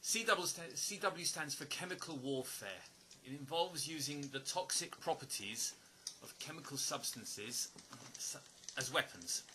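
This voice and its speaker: A man playing an earnest stranger pleading for trust in an audio drama, speaking haltingly and repeating words. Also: fairly high pitch, animated, bright, forceful tone, slight background noise, poor audio. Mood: explanatory, guarded. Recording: music under the voice. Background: music.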